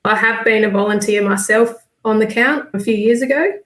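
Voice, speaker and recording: A woman's voice: a little high, a little fast, a bit bright. A woman with an Australian accent giving informal, explanatory answers in a casual livestream interview. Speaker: volunteer bird count coordinator. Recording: roomy, echoey sound.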